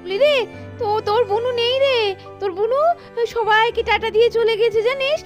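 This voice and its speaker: A woman voicing a numb, grieving mother in denial, wistful and hushing, in a melodramatic doll cartoon. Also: extremely high pitch, moderate pace, over music. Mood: dazed, tender.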